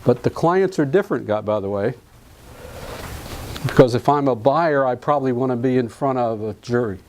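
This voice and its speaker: A man's voice: medium pitch, normal speed, darkish tone, a little hiss or hum. A middle-aged man from Alabama, giving a live classroom lecture on real estate contracts in a folksy, joking way and quizzing his students. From Alabama, US South.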